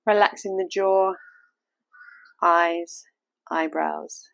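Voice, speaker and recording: A woman leading a guided meditation, giving gentle step-by-step instructions in a soothing, unhurried manner. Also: fairly low pitch, steady tone, creaky, no echo, poor audio.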